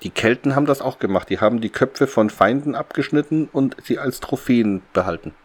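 A man talks in a casual, conversational way as a podcast co-host. His voice sits at an ordinary middle pitch, and he retells a story as he chats. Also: brisk. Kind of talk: casual chat recapping a radio play.

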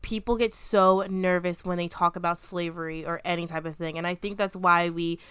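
A young woman co-hosts a casual podcast, jumping in supportively and talking animatedly with lots of "like". Her voice is clear and smooth. The recording is dry and close. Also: lowish voice, fairly even intonation, normal speed, a bit bright, no room sound. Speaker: podcast co-host.

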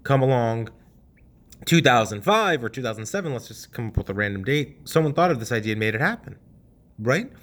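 A young man in his twenties, hosting a podcast and telling a personal story in a casual, animated way, cursing freely and dropping at times to a hush. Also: medium pitch, a little fast. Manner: animated, swears casually, swings from loud to hushed. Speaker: podcast host.

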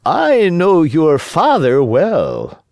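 A man's voice: fairly high pitch, huge pitch swings, vocal fry, darkish tone, no echo, voice pushed up, heavily acted. A man voicing a sly, falsely courteous fox in a storybook, drawing his words out in a wheedling way. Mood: smooth, courteous. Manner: slow, drawn-out, wheedling.

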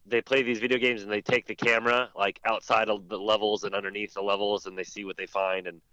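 A man's voice: lowish voice, level tone, fast, sharp tone, smooth, dull audio. A man chatting casually as a panelist on a group podcast.

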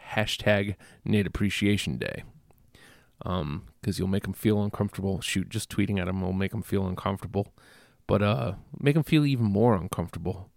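A stuffy-nosed man talks alone into a close microphone for his podcast, rambling and self-deprecating between fillers and false starts. His voice is low and full of gravelly fry, and the recording is dry. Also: darkish tone, no echo. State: congested. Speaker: podcast host. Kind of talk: casual solo podcast monologue.

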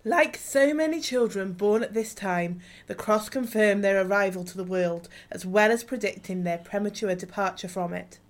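A woman's voice: medium pitch, normal speed, hardly any pauses. A woman reading scripted narration in a radio play.

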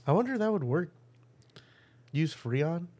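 A man's voice: on the high side, big pitch swings, measured pace, darkish tone. Young man teasing his friends casually. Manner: teasing, slangy. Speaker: podcast co-host. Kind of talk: casual banter among friends.

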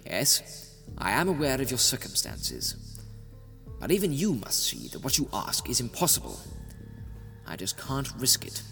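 A man's voice: mid-range voice, huge pitch swings, unhurried, vocal fry, long pauses, a bit bright, faint music behind, exaggerated voice. A man playing a lordly, wry scientist hero and dandy in an audio drama. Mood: stern.

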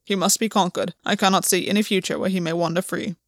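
Woman voicing a grave, gravelly fae man. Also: sits high, fairly even intonation, fast talker, no room sound, clean studio sound. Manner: grave, pleading.

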